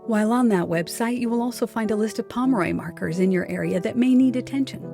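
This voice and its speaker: A woman's voice: a little high, fast talker, creaky, mellow. A woman hosting a podcast, reading announcements in a friendly, upbeat way and asking interview questions. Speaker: podcast host. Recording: music playing behind.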